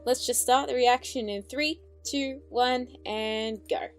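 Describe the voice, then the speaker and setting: A woman's voice: on the high side, steady tone, slow. A woman giving casual, off-the-cuff commentary as the host of an online reaction.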